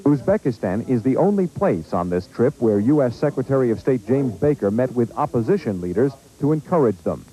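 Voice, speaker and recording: An American man narrates a scripted foreign news report as a correspondent. His voice is mid-pitched, with a soft, mellow tone. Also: big pitch swings, brisk, few pauses, poor audio.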